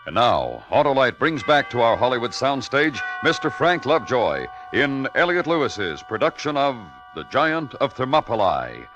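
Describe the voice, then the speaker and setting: A man's voice: medium pitch, very animated, fairly bright tone, faint music behind. A man introducing a 1950s radio suspense show as its announcer, formal and dramatic. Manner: dramatic, formal, measured presentation. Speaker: program announcer.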